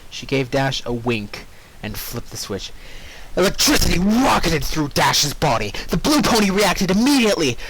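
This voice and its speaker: A man's voice: a little high, very animated, normal speed, a bit bright, slight background noise, low-quality recording. A man reading fan fiction aloud with informal, jokey asides, easily sidetracked from the narration. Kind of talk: story read-aloud with casual ad-libbed commentary.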